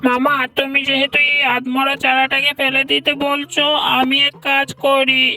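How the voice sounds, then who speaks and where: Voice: sits high, completely flat delivery, normal speed, sharp tone, exaggerated voice. A performer voicing a poor, humble male fox labourer in a cartoon, earnest and plaintive, with a woman's-sounding voice. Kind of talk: cartoon voice acting. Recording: distant voice with music underneath.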